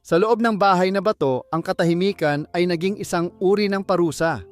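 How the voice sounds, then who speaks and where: A man's voice: high-pitched, brisk, faint music behind. A man narrating a dramatic scripted audiobook story.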